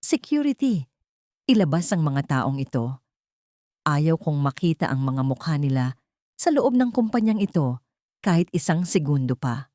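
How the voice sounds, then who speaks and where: A woman's voice: low-pitched, big pitch swings, normal speed, long pauses, mellow, dry recording, clean studio sound. A woman voicing a young heiress who speaks firmly and with scorn, in a storybook reading. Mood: cold, scornful. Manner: firm, scornful. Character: young wealthy heiress, cold and cutting.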